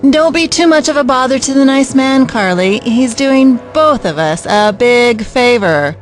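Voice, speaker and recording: A woman's voice: high voice, expressive, unhurried, faint music behind. A woman playing a bitter, brusque, uncaring mother with a curt, dismissive manner in an audio drama. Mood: brisk.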